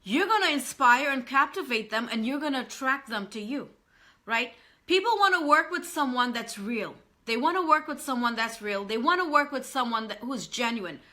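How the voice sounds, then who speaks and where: A woman's voice: high-pitched, animated, normal speed, bright, forceful tone. A woman, earnestly talking to live-stream viewers as a business coach and often repeating herself. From Trinidad and Tobago.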